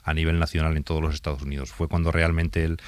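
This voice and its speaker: A police inspector from Spain with a Spanish accent, answering interview questions hesitantly, with many fillers. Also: male voice, extremely low pitch, flat delivery, fast, no echo.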